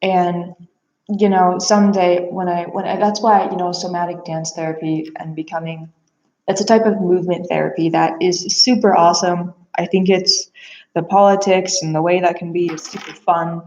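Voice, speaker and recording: A woman's voice: on the low side, steady tone, normal speed, darkish tone. A woman talking casually and earnestly about herself in a rambling, introspective personal monologue. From Bulgaria, adopted from there. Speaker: aspiring therapist and psychic reader. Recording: echoey room, slightly dull sound.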